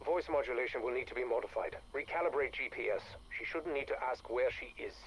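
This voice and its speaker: An actor playing a calm male scientist who logs his work in a measured, clinical way and speaks gently to his creation. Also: medium pitch, a little hiss or hum, natural voice, rough recording.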